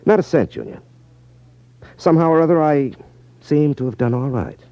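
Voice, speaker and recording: An American actor playing a cool, wry magician detective in a 1950s radio drama, with a teasing, unruffled manner. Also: male voice, fairly high pitch, expressive, normal speed. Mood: genial, confident. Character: cool, wry magician detective who exposes gambling rackets. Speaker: lead actor in a detective series. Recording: muffled 1950s radio broadcast recording.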